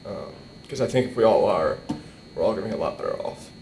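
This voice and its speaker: A young man giving an informal eulogy, emotional and halting as he repeats words, at a stand microphone indoors. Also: lively intonation, vocal fry, darkish tone. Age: young adult. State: emotional, struggling to keep composure. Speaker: eulogist at a memorial, nephew of the deceased. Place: indoor hall. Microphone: microphone on a boom stand. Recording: roomy echo, faint rumbling noise.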